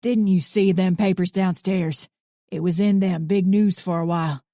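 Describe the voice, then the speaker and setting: A woman voicing a bitter, mean old man in his eighties who sneers and talks in rough country grammar. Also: lowish voice, fairly even intonation, moderate pace, dark tone, no echo, studio quality, natural voice.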